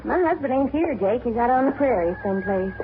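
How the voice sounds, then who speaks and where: An actress playing a curt frontier wife in a 1950s radio drama. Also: female voice, on the high side, lively intonation, brisk, close to an everyday voice. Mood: wary. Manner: curt, rural speech. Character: bitter frontier wife learning of her husband's hanging. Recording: tinny old radio broadcast with hiss.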